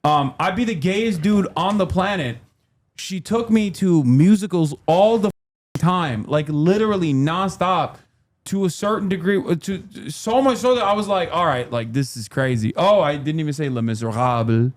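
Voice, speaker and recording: A man's voice: high-pitched, normal speed. A man who grew up in Turkey, hosting a casual stream chat, joking and rambling with many restarts. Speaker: streamer host.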